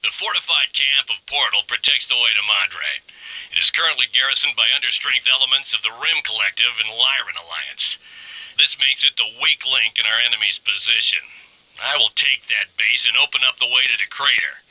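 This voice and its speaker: A ruthless pirate in a video game, with a hard, forceful edge and a very close, dry sound. He is proud and coolly calculating, brooding over each phrase and letting endings sink to a hush. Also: medium pitch, brisk, no echo, poor audio. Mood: cold, calculating. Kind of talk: scripted dramatic mission-log monologue.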